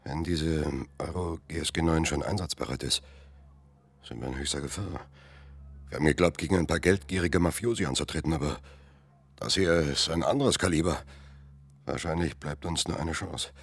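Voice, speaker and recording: An actor playing a wary, commanding leader in a radio thriller, terse and decisive. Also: male voice, rumbling low, moderate pace, husky, heavily acted. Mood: alarmed, sober. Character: commanding, wary male leader of fugitive investigators.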